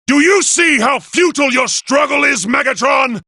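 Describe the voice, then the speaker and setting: Proud robot leader for a video game: high, sharp-edged, formal and grandiose. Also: male voice, moderate pace, no echo, clean studio sound. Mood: imperious, defiant. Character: proud noble male robot leader, commanding and solemn. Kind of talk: theatrical video game voice acting.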